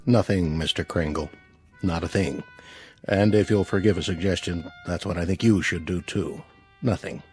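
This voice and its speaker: A man acts a calm, skeptical male government agent in a radio drama. His voice is low and deep, with an official, courteous firmness. Faint music plays behind him. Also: natural voice. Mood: firm, dismissive. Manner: measured, polite, official.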